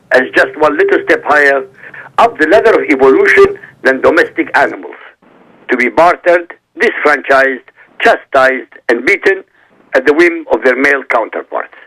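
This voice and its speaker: A man's voice: on the high side, expressive, moderate pace, pushed and bright, husky. A man whose first language is Arabic, a guest on a talk show who reads a prepared statement in a formal, emphatic way over a phone line. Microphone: phone call.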